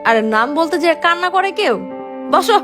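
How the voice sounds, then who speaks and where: A woman's voice: very high-pitched, animated, brisk. A woman playing a strict, bossy female primary school teacher in a cartoon, with music under her voice. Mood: stern scolding. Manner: bossy, instructing children. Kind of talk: cartoon dialogue.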